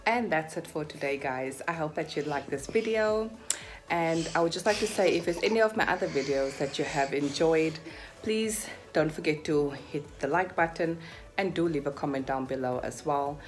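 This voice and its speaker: Low-voiced South African woman, chatty vlogger. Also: normal speed. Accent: South African, Cape Town. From Cape Town, South Africa. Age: 30s. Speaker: YouTube vlogger. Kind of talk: casual chat to camera, question-and-answer. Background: background music.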